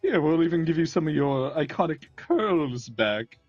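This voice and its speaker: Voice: medium pitch, sing-song, normal speed, darkish tone, dry recording, muffled recording, faint music behind. A performer voicing a theatrical villain barber who cackles often and speaks in pompous, old-fashioned phrases. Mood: gleeful menacing. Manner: archaic pompous speech, frequent cackles.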